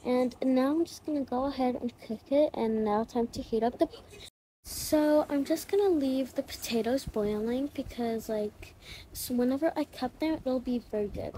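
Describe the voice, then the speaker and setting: A woman's voice: sits high, moderate pace, dark tone, slight background noise, poor audio. A woman casually narrating a role-play routine video in an informal, hesitant, chatty way.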